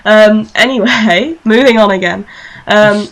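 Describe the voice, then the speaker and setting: A woman's voice: mid-range voice, unhurried, low-quality recording. Playful woman chatting on an online show. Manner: informal, playful, jokey. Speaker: online radio show host. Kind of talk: casual fan discussion. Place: internet call recorded on a computer.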